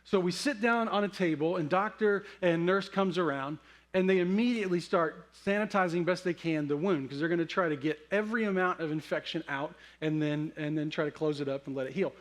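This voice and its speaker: A middle-aged man with a notably high voice preaches like a pastor. He talks in a conversational, storytelling way. He slows into dramatic pauses to give key words weight. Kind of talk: sermon with personal storytelling.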